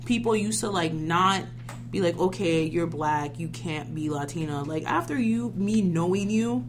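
A woman's voice: fairly low pitch, a little slow. A young woman hosting a casual conversation, telling stories in an emphatic, informal way. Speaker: podcast host. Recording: noisy recording with clear hum.

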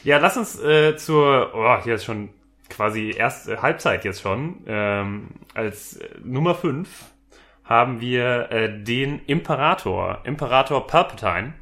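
A man co-hosting a casual podcast at a middle pitch. He speaks in a laid-back way with a dry, amused edge. His talk stays easy and conversational. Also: lively intonation, slow, fairly bright tone. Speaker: podcast co-host. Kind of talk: casual chat.

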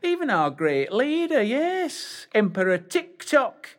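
An actor playing an old man who puts on the voice of a brash pantomime dame, shrill and sing-song, calling out to the children in the audience. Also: huge pitch swings, slow pace, heavily acted. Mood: boastful.

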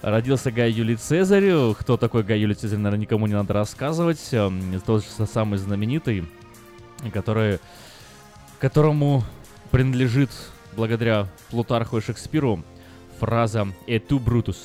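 A man's voice: on the low side, animated, moderate pace, a little mellow. A man hosting a casual radio show, talkative and opinionated, with hesitant fillers. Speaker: radio host. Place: radio studio. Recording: faint music under the talk.

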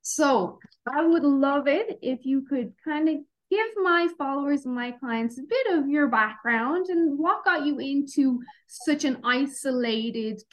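A woman's voice: fairly high pitch, fairly bright tone, poor audio. A woman with an Irish accent, hosting an interview podcast and introducing her guest.

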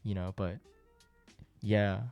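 Young R&B artist, male, twenties, Japanese native; very low, dark voice, easygoing interview talk with faint music behind. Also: takes it slowly, muffled recording. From born in South Korea, raised in Japan. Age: 20s. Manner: relaxed, leans on "you know" and "like" fillers. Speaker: R&B artist and producer.

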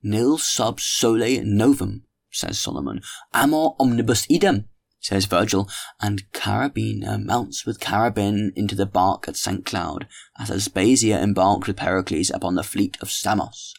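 A man's voice: lowish voice, unhurried, gravelly. A man voicing a witty young man holding forth at dinner, speaking with a theatrical, mock-solemn grandeur. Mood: grandiloquent.

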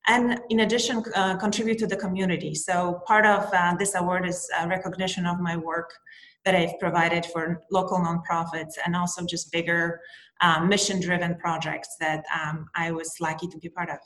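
A woman's voice: fairly low pitch, level tone, a bit bright. Polish-accented woman in an echoey room. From Poland. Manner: measured, with frequent ums. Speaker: interviewee, architect. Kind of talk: interview answers.

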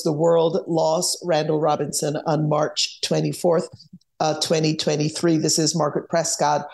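A woman hosting a radio program, introducing an archival clip in a measured way with occasional fillers. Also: deep voice, creaky, darkish tone. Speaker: radio show host.

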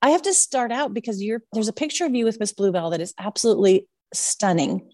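A woman's voice: medium pitch, brisk, mellow. A woman hosting a podcast, chatting enthusiastically with lots of likes and fillers. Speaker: podcast host. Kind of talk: casual interview chat.

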